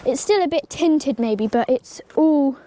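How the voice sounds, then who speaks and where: A woman's voice: high voice, expressive, unhurried, dark tone. Chatty teen girl with a cold. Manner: chatty, rambling, many ums and likes, talks to the pony. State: has a slight cold. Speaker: horse-care vlogger. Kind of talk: casual how-to tutorial. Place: outdoor stable yard beside a pony.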